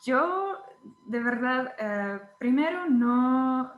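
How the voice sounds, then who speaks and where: A young woman with a Czech accent, a teacher and blogger answering interview questions in a hesitant way, often pausing to search for words. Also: high-pitched, lively intonation, echoey room, phone-quality sound. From Czech Republic. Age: young adult.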